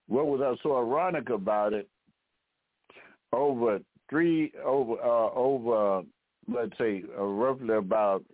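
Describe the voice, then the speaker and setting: A man hosting an internet radio history talk, lecturing in a halting way with frequent restarts and repeated words. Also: mid-range voice, dry recording. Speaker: history talk show host. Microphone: phone line. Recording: tinny phone-line call-in audio.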